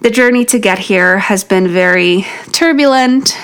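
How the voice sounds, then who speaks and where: A woman's voice: mid-range voice, measured pace, a bit bright, clear, resonant tone. New york lady, polish first language, podcast host, kinda out of breath, encouraging. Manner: encouraging, talks straight to the listener. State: out of breath from pregnancy. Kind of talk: solo podcast teaching tips.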